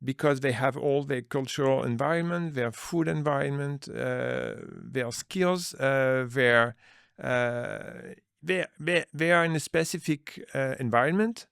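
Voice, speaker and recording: Earnest man with a gravelly voice. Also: mid-range voice, a little slow, a bit bright, no echo, studio quality. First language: Dutch. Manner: earnest, searching for words, many restarts. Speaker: business manager, interview guest. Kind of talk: conversational interview answers.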